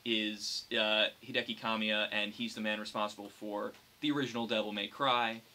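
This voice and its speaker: A young man chatting casually about games as a podcast co-host, explaining things in a halting, hesitant way. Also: lowish voice, flat delivery, sharp tone. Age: young adult. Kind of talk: casual gaming chat among friends. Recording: noisy hobby podcast recording.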